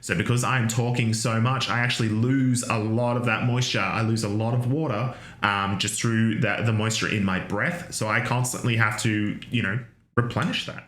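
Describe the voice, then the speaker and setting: A man's voice: on the low side, fairly even intonation, moderate pace, a bit bright, reverberant. A man giving a recorded university anatomy lecture, chatty and full of fillers, with joking asides. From Australia.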